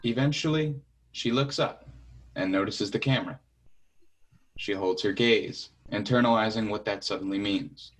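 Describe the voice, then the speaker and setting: A man's voice: on the low side, normal speed. A man reading out stage directions as scripted narration for a play reading.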